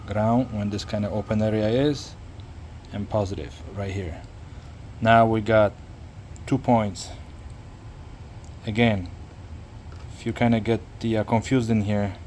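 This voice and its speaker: A man narrating a casual hands-on repair tutorial, speaking haltingly and repeating words as he works. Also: on the low side, a little mellow, a little hiss or hum. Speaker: hobbyist demonstrating a radio repair.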